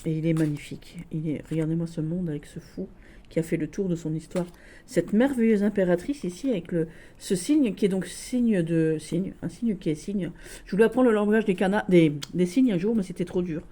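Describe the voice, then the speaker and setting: A woman's voice: fairly low pitch, warm tone. Gushing woman with a Lorraine accent. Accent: Lorraine French. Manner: halting and gushing, many restarts and fillers. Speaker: tarot deck reviewer. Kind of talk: casual tarot deck showcase.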